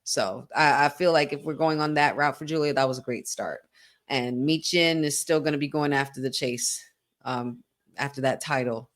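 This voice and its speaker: A woman co-hosting a wrestling watch-along, talking in an excitable, chatty fan style with lots of "like". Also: extremely low pitch, normal speed. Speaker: podcast co-host. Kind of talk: casual watch-along commentary.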